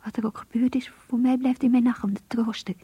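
An actress playing an anguished, lovesick young woman confiding in her diary in a radio play, hushed and intimate. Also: on the high side, fairly even intonation, fairly quick, no room sound, dull audio. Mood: devoted, wistful. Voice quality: breathy, hushed, whispery. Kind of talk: Dutch radio play monologue.

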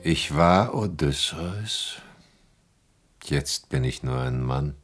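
An actor playing a weary, middle-aged shipwrecked hero in a German radio play, brooding, resigned and melancholy. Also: male voice, lively intonation, faint music behind, exaggerated voice. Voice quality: very deep, husky. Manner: slow, heavy, brooding delivery.

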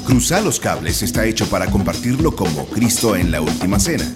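A man's voice: fairly low pitch, sing-song, brisk, loud music behind the voice. A man reading a scripted radio show promo as an announcer.

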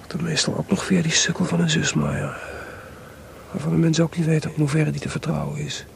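An actor playing a proud, bitter, jealous composer husband in a radio play, grumbling and sardonic in an intimate way. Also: male voice, fairly high pitch, little pitch movement, brisk, vocal fry, slight background noise. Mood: indignant grumbling. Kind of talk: Dutch radio play acting.

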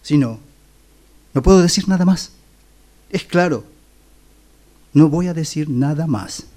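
A man acting an evasive, unyielding fellow in a radio play. His voice is a bit high and soft-toned. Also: expressive, measured pace. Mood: defensive, firm. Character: evasive man refusing to answer without clarity. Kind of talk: radio drama workshop exercise.

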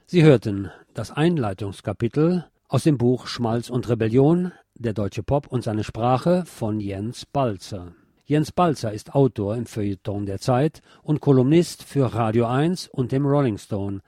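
A man's voice: medium pitch, expressive, measured pace, warm tone, dry recording, studio quality. A man reading a scripted essay as a radio narrator. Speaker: narrator reading a book chapter.

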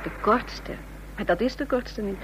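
A woman's voice: mid-range voice, a little slow, natural voice. An actress playing a frightened, weary young woman in a radio drama, breathless, anxious and pleading. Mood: tired, uneasy. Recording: noisy, humming old radio recording.

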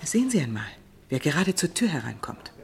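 A woman's voice: deep voice, very animated, brisk, gravelly, full character voice. An actress playing a knowing, reproachful society woman in a German radio play, speaking in a pointed, formal way.

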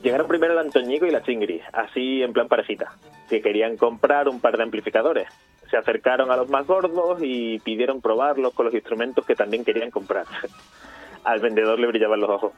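A man's voice: mid-range voice, fairly quick, lo-fi sound, faint music behind. A man casually telling a story about his punk band, amused.

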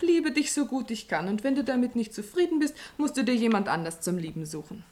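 Actress as cheeky young village girl in an old radio play, highish voice, nosy, offhand and teasing. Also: animated, fairly quick, darkish tone, natural voice. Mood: offhand, exasperated. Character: pert, curious young peasant sweetheart, offhand. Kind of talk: 1950s German radio play acting.

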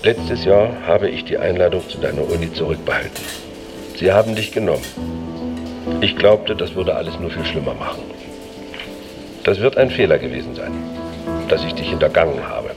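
An actor playing a stern middle-aged father who speaks in a formal, measured, condescending way in a radio drama. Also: sits low, lively intonation, over music. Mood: stiffly confessing. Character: stern, belittling father making a stiff confession.

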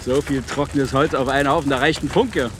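An actor playing a brusque, dismissive official in a radio drama, matter-of-fact and condescending. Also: male voice, medium pitch, steady tone, a little fast, background noise, lightly acted. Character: brusque, dismissive male official at a fire scene. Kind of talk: German radio drama acting.

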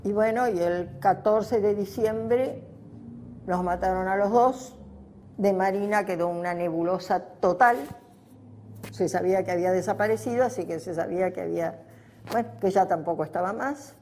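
A woman's voice: medium pitch, moderate pace, darkish tone, slight background noise. An older woman with an Argentine accent gives a personal testimony, recollecting in a reflective, spontaneous way with emphatic repetition. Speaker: interviewee giving personal testimony.

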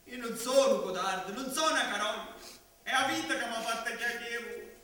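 A man's voice: extremely high pitch, brisk, bright, forceful tone. A man with a southern Italian accent playing a crafty, boastful, self-pitying servant, declaiming emphatically in a stage comedy. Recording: echoey hall, distant mic.

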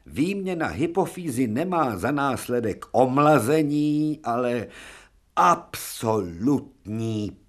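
An actor playing a stern, authoritative older professor who is easily irritated, in a radio drama. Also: male voice, mid-range voice, expressive, natural voice.

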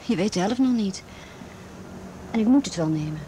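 A woman playing an anxious, guarded teenage girl who speaks haltingly in a radio play. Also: medium pitch, lively intonation, warm tone, a little hiss or hum, lightly acted, low-quality recording. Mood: pleading. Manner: halting, repeats words. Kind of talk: Dutch radio play acting.

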